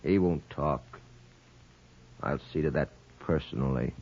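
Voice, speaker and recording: An actor playing a jealous, short-tempered, menacing betting boss in an old-time radio crime drama, curt and bullying. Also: male voice, rumbling low, measured pace, gravelly, full character voice. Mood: angry, menacing. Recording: muffled old radio recording.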